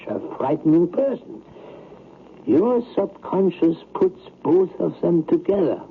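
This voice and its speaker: An actor playing a skeptical, patronizing psychiatrist in an old radio drama, with a deliberate, lecturing manner. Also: male voice, fairly high pitch. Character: probing, skeptical male psychiatrist, confident and patronizing. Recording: narrow, noisy vintage radio broadcast.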